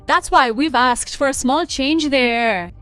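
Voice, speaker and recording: A woman's voice: high voice, slow, a bit bright, soft background music. A woman playing a weary, aggrieved young daughter-in-law in a cartoon family story. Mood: pleading, annoyed.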